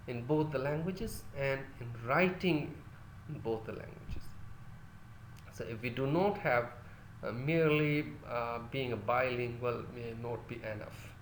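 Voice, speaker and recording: A man's voice: mid-range voice, roomy sound, slight background noise, rough recording. A male lecturer whose first language is Urdu, teaching a class with many fillers and restarts and putting questions to his listeners.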